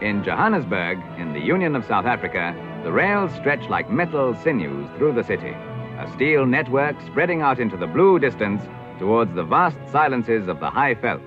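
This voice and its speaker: A British man delivers scripted 1950s educational film narration. His delivery is formal and declamatory, with lively rises and falls at a measured pace. The sound is a muffled vintage film track, with music clearly playing underneath. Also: medium pitch. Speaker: documentary narrator. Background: clear background music.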